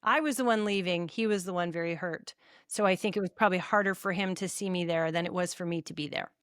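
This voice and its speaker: A woman's voice: fairly low pitch, quick pace, no echo, clean studio sound. A woman in her fifties co-hosting a podcast, explaining her views and recommending things with enthusiasm. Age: 50s. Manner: explanatory, enthusiastic, laughs often. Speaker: podcast co-host. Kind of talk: casual chat.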